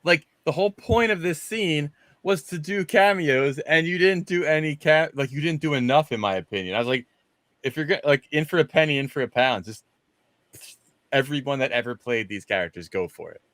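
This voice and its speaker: A man's voice: a little high, lively intonation, a little fast, a bit bright, no echo. A man talking casually and enthusiastically as a rambling panelist on a movie discussion show.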